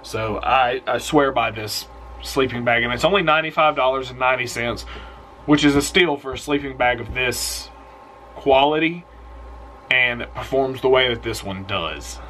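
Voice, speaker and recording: Young guy hosting an outdoors vlog; bright, forceful tone, loose and rambling, keen about his picks, close to the camera in a small room. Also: mid-range voice, moderate pace, slight background noise. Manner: relaxed, rambling, enthusiastic with trailing asides. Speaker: outdoors channel host. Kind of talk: casual product recommendation vlog.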